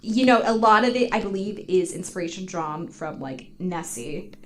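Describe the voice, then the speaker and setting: A woman with an American accent co-hosting a podcast, telling stories casually in an animated, giggly way. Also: lowish voice, normal speed, crowd noise. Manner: animated, giggly, often swearing. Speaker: podcast guest co-host. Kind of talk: casual storytelling chat.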